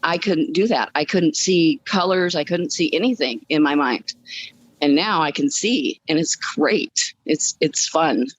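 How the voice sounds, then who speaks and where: A woman's voice: sits low, measured pace, no room sound, dull audio. A woman guest telling her personal story in a casual, upbeat way during a podcast interview. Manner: upbeat, chuckles as she talks.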